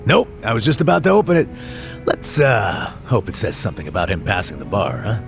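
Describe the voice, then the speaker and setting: An actor playing a hearty, generous middle-aged man with a gravelly voice in a video game dub, talking casually and jovially. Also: on the low side, wildly swooping pitch, fairly quick, music in the background, exaggerated voice. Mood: cheerful.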